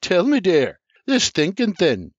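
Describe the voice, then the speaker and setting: A man's voice: high voice, wildly swooping pitch, unhurried, no echo, pitched above the performer's own voice, full character voice. A man voicing a cheeky, teasing grown son. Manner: teasing, chirpy. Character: cheeky Irish son, grinning teaser. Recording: slightly muffled dry recording.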